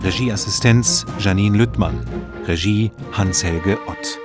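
A man's voice: fairly low pitch, slow. A man announcing the credits of a radio play in a scripted read. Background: prominent music.

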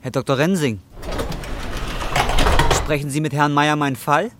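An actor in a radio drama playing a desperate, furious young roofer, intense and emotional. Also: male voice, a little high, unhurried, heavy background noise, natural voice. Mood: bitter, agitated. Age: 20s.

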